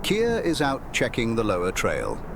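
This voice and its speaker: A man playing a dry, deferential male servant with sardonic wit in a radio drama, with loud weather noise behind. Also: mid-range voice, huge pitch swings, unhurried, gravelly, exaggerated voice. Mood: wry, gloomy. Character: dry, wry male servant greeting guests with gloomy wit.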